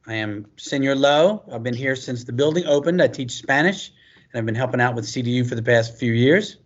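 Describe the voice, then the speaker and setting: A man's voice: medium pitch, brisk. Jokey older man teacher. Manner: jokey, relaxed. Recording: muffled lo-fi recording.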